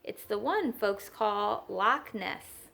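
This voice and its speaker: A woman's voice: mid-range voice, expressive, slow, gravelly, sharp tone, heavily acted. A woman voicing a hearty, genial uncle from Scotland in a children's storybook, with jovial, folksy phrasing. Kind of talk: children's storybook read-aloud.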